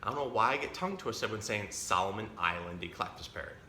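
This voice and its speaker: Bright, low-pitched man in a roomy space. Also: expressive, quick pace. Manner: explains simple words, self-correcting, chatty. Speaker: zookeeper presenting an animal storytime. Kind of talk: casual educational talk for children. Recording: roomy echo, faint background noise.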